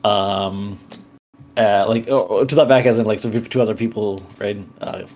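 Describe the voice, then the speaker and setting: A man playing a tabletop game, improvising his character's actions in a halting, think-aloud way. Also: lowish voice, a little mellow. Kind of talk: improvised roleplay narration.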